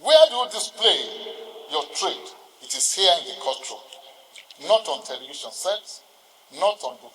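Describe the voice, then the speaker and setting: An older man with a Nigerian accent gives a formal ceremonial speech, exhorting his listeners deliberately with emphatic pauses. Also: high voice, fairly bright tone. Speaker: senior lawyer addressing a ceremony. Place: large indoor courtroom. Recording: reverberant hall with long echo.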